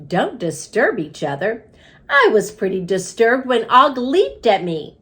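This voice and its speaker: Friendly female teacher in a storybook, as a woman reader plays her: chatty, bright lift in pitch, very expressive. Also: unhurried. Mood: animated.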